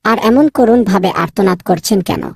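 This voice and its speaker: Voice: mid-range voice, moderate pace, darkish tone, husky, no room sound, studio quality. A performer voicing a kind, bold young boy in a children's cartoon, insistent and polite to elders. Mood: curious, bold. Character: kind, bold young boy Nilu, bossy with his friend. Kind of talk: children's moral cartoon dubbing.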